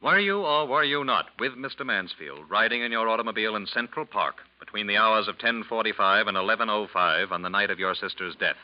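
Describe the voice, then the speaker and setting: An actor playing a stern, methodical police lieutenant in a 1940s radio drama, questioning formally and with measured care. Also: male voice, fairly low pitch, natural voice. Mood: grave, probing. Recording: muffled 1940s radio recording.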